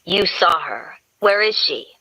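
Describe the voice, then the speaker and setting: A woman's voice: fairly low pitch, lively intonation, slow, pushed and bright, slightly rough, heavily acted, low-quality recording. A woman voicing a tense, brusque wealthy man with a growling edge. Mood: strained. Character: strained, tense rich man.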